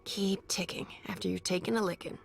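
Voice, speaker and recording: An actress playing a brisk young woman who mutters to herself while gathering potion ingredients. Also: mid-range voice, steady tone, creaky, slight background noise. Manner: muttering to herself as she works.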